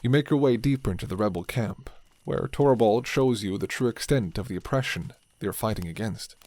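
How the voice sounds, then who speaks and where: A man narrating a dark fantasy ASMR roleplay in calm second-person storybook style. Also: lowish voice, a little fast, no room sound.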